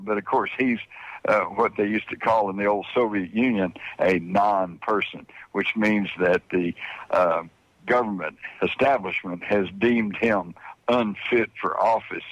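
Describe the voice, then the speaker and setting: Older man, low voice, radio guest, political opinion, emphatic and folksy, very dry recording. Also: fairly bright tone, no room sound, poor audio. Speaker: author, radio interview guest.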